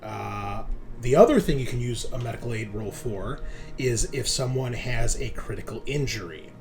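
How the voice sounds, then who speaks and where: A man running a tabletop roleplaying game, narrating and explaining rules in a halting, think-aloud way. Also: mid-range voice, lively intonation, over music. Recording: clear background noise.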